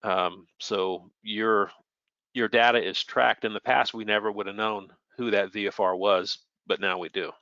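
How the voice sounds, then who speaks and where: Guy explaining technical stuff, matter of fact, kinda low bright voice, slightly muffled recording. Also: steady tone, a little slow, dry recording. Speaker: air traffic controller, guest expert. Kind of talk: informal technical explanation with slides.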